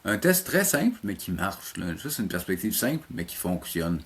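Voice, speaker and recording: Low-pitched Québécois man, slightly husky. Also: sing-song, normal speed. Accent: Québécois French. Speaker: interview guest, animation teacher and program coordinator. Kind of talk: casual interview conversation.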